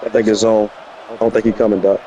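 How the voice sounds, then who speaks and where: Dark-voiced man over lo-fi voice chat. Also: lowish voice, flat delivery, quick pace, slight background noise. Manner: slangy, offhand remarks. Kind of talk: casual gaming chat.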